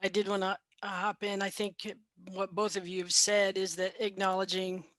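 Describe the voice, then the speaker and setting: A woman's voice: medium pitch, level tone, normal speed, a bit bright, no room sound. Woman, health trainer, candid and chatty, dry close audio. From Mexican heritage, raised in the US. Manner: candid, conversational, laughs lightly. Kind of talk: panel discussion.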